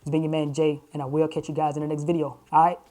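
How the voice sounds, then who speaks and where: A man in his thirties reviewing tech gear to camera from a home studio, talking in a relaxed, conversational way with lots of fillers. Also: fast talker. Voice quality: self-described high-pitched voice. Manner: relaxed, conversational, many "uh" and "you know" fillers. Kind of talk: casual product review to camera. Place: home studio room with shelves and purple lights. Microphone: desk broadcast mic, later clip-on wireless mic.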